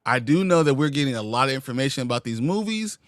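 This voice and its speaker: A man co-hosting a casual chat show, ranting with animated, heated energy. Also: medium pitch, fast, dry recording, studio quality.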